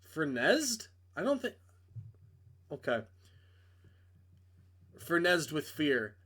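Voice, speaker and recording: Male narrator, fairly high voice, dramatic reading of visual novel prose. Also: very animated, extremely slow, fairly bright tone, husky.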